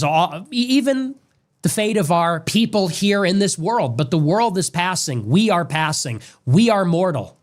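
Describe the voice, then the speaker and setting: Man, slightly high voice, solemn, preacherly cadence, grave monologue, played-back stream. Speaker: political commentator. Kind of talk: solemn monologue on death and faith.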